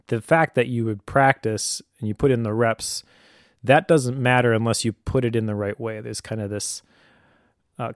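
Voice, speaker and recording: A man's voice: mid-range voice, dry recording, clean studio sound. A middle-aged man speaking casually and thoughtfully, explaining advice as a parent. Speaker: homeschooling parent giving advice.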